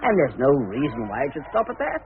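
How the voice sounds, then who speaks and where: An actor playing a slick, cynical, domineering publicity promoter in a radio play, with brisk theatrical delivery. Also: male voice, mid-range voice, wildly swooping pitch. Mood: gloating. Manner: brisk, domineering, self-satisfied. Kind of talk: theatrical radio drama acting. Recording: tinny narrow-band old radio recording, faint noise.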